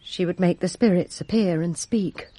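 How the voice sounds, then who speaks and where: A woman's voice: fairly low pitch, moderate pace, mellow, natural voice. A woman playing a servant giving formal, deferential testimony in a radio drama, composed yet resentful of a rival. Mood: measured recounting. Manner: formal, deferential, precise storytelling.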